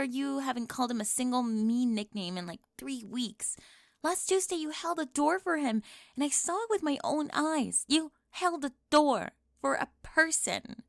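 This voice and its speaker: High-pitched bossy teenage cheer captain girl, acted by a woman. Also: creaky, no room sound, studio quality. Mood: flustered, interrogating. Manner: indignant, interrogating, over-formal wording with flustered stammers. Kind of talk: scripted ASMR roleplay acting.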